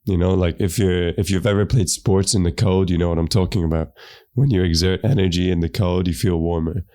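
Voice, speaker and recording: Hoarse, low-voiced man with a cold. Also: steady tone, brisk, warm tone. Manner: laid-back, swears casually. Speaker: podcast co-host. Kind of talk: casual chat.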